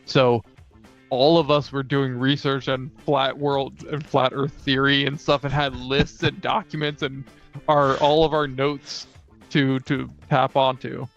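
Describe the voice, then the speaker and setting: A man's voice: medium pitch, flat delivery, measured pace, fairly bright tone. A man co-hosting a casual gaming chat, explaining things conversationally as one of the players. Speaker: podcast co-host and player. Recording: slightly dull audio, music behind. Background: music.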